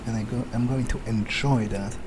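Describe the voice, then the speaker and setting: A man's voice: fairly low pitch, steady tone, moderate pace, background noise. A man thinking aloud in character as a brooding, grumbling vampire during a game playthrough, hesitant and prone to swearing. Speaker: let's player.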